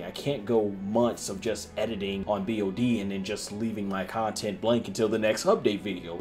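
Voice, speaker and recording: A young man gives a video update to his viewers in a very lively voice that swings up and down. He speaks as an emphatic, showy host, with music playing behind him. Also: medium pitch. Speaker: independent filmmaker and video channel host. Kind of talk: vlog project update to camera. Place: small indoor room at home.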